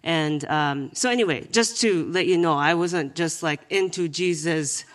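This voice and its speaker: A woman comedian chatting as a guest, telling stories in an animated way with many restarts and laughs. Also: low voice, unhurried. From Japan. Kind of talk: casual podcast chat.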